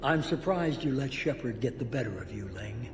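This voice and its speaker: A man plays an aloof mastermind in a video game. He talks at a middle pitch with controlled, superior calm. The sound is echoey, with clear music beneath. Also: normal speed, close to an everyday voice. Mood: cool, disapproving. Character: cool, superior male mastermind boss. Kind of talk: video game cutscene acting.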